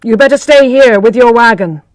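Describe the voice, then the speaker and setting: A woman voicing a brusque male post-carriage driver who speaks loud and hurried. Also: on the high side, dry recording, natural voice, poor audio.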